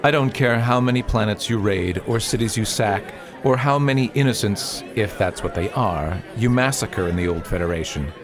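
A man playing a principled young nobleman in a radio drama, speaking formally and earnestly in a measured, argumentative manner. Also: on the low side, crowd noise, close to an everyday voice. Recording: clearly noisy outdoor ambience.

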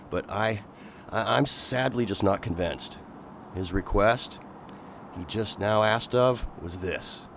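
A man playing an earnest, agitated gentleman. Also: lowish voice, a little hiss or hum, natural voice. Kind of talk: radio drama acting.

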